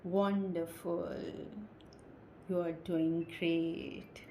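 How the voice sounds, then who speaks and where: A woman guides a relaxation session as a hypnotist. Her voice is mellow and relaxed, delivered extremely slowly in short, soothing phrases with long pauses. A faint layer of ambient noise sits behind her. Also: on the low side. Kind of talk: slow guided meditation, hypnosis induction.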